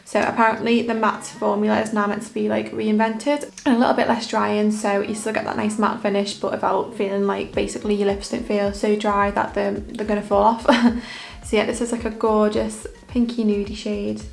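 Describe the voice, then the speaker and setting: A young woman makeup artist talking through a casual makeup tutorial in a hoarse, croaky voice, her delivery relaxed and monotone with plenty of fillers. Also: medium pitch, fairly quick. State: croaky, hoarse voice after illness. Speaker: makeup artist and beauty vlogger.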